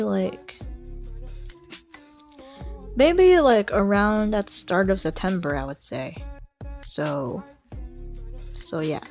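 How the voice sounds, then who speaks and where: A young woman vlogging in a casual, unscripted way. She talks slowly, stumbles and stutters, and repeats words as she hunts for what to say. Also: medium pitch. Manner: hesitant, stutters and repeats herself. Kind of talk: casual, unscripted vlog talk.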